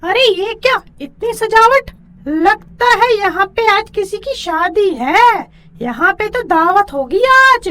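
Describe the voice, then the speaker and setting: Shrieky-high greedy cartoon witch. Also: expressive, slow, pushed and bright, full character voice. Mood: hungry, curious. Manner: exclaiming, gloating. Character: hungry, greedy female village witch, gleefully scheming. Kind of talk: animated children's story character acting.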